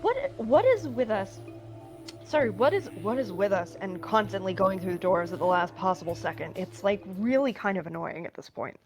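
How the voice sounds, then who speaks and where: A woman co-hosting a podcast in casual chat, talking in quick bursts with many restarts. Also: mid-range voice, very animated, over music. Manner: chatty, frequent restarts and laughter. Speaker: podcast co-host.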